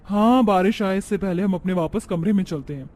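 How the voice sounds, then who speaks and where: A man voicing a haughty young modern city daughter-in-law, sassy and defiant, mixing in English words, in an animated story. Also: high voice, brisk, lightly acted. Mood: pleased, complaining.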